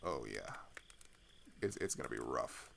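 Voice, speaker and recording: Male actor as open-hearted teen runaway climber, ASMR roleplay. Lively intonation, halting and confiding, gravelly, some background noise. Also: a bass voice, full character voice. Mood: earnest, cautioning. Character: open-hearted teenage male climber fleeing across a border, weary but caring. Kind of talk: ASMR roleplay audio drama.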